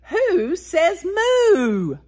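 A woman in her sixties hosting a story time, reading aloud and talking directly to young children in an animated way. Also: very high-pitched. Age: 60s. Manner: animated, addressing children directly, drawn-out words and animal sounds. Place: small wood-paneled room indoors.

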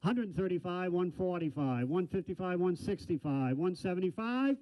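An older man calling bids as an auctioneer in a large indoor hall, rattling off numbers in a fast chant with folksy asides, speaking into a handheld mic. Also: high-pitched, animated. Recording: dull lo-fi sound.

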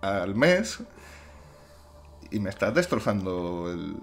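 A man's voice: low-pitched, very animated, measured pace. A man hosting a gameplay video, chatting and joking with his co-host. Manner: joking, chatty, laughs often. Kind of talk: casual chat with co-host.

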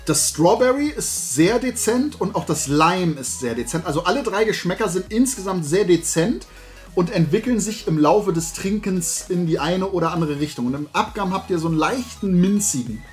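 A middle-aged man hosts a hobby vlog in a somewhat high voice. He rambles in loose, colloquial chat, as if talking to regular viewers. Faint music can be heard with his talk. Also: expressive, moderate pace. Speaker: host of a toy and hobby video show. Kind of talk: casual chat, product tasting and recommendations. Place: room at home lined with shelves of toy figures.

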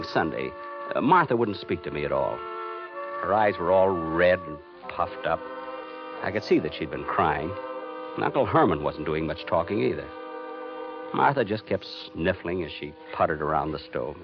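A man's voice: low voice, sing-song, moderate pace. An actor playing a flustered, stubborn married man in a 1950s radio drama, stammering when upset. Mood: subdued narrating. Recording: muffled 1950s radio recording with music.

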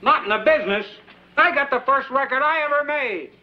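A man's voice: very high voice, fairly quick, heavy background noise. An actor playing a middle-aged record promoter who talks in wheedling, showy salesman patter. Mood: pleading. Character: blustering smooth-talking male record huckster, middle-aged. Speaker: fast-talking record promoter. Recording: dull 1960s film soundtrack with music.

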